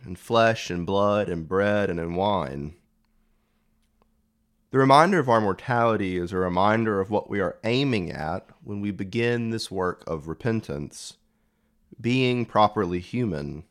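Man, low voice, unhurried delivery, reflective sermon, deliberate pauses. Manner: measured, deliberate phrasing with pauses. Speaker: preacher. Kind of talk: reflective Lenten homily.

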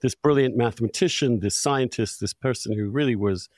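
A man hosting an interview, putting long, discursive questions in a deliberate, thoughtful way. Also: medium pitch, a little mellow, dry recording, clean studio sound. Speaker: podcast host, interviewer.

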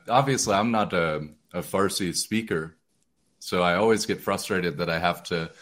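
A man's voice: low voice, a bit bright. An American man hosting a podcast interview, introducing his guest in a loose, rambling way with many fillers and restarts. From United States. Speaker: podcast host.

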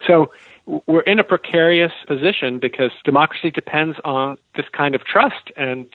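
A man's voice: on the high side, moderate pace, dry recording. American male expert, slightly dull dry recording. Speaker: expert guest, law professor and author. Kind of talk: explanatory interview answers.

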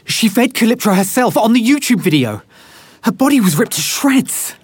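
An actor playing a worried, excitable husband and scientist in an audio drama, stammering with urgency. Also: male voice, very high-pitched, normal speed, slight background noise. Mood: urgent, horrified.

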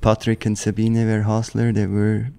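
Mellow low-voiced Swiss man. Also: moderate pace, gravelly, smooth. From German-speaking Switzerland. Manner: relaxed, rambling, many restarts and "like". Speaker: guest, professional snowboarder. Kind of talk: casual interview chat.

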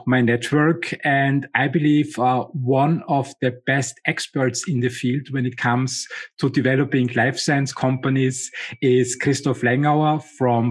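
Flat-toned man hosting an interview. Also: mid-range voice. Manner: measured, hesitant, many fillers. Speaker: podcast host.

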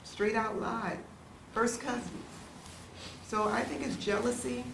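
A woman's voice: a little high, fairly even intonation, measured pace, creaky. A middle-aged woman speaking personally and conversationally in a discussion. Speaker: discussion participant. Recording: roomy, with faint noise.

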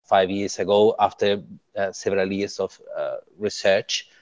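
Uruguayan man, low voice, dull dry audio. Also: level tone, unhurried, dry recording. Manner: hesitant, many fillers and restarts. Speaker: climate tech startup co-founder. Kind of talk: interview answers.

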